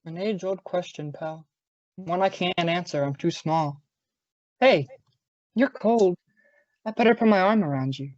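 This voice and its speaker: Wry male police inspector, played by a woman, low-pitched, clipped radio-drama banter, very dry and slightly dull recording. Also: unhurried, pauses often, darkish tone, no echo, close to an everyday voice. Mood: teasing. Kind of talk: old-time radio detective drama acting.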